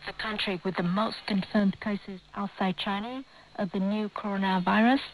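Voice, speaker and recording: A woman's voice: medium pitch, a little hiss or hum, low-quality recording. A woman reads scripted news as a radio presenter over a shortwave broadcast.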